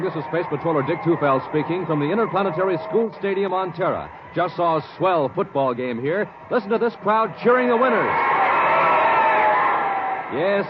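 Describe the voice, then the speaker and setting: A man announcing and narrating in 1950s radio style, punchy and theatrical. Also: sits high. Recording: muffled, hissy old radio recording.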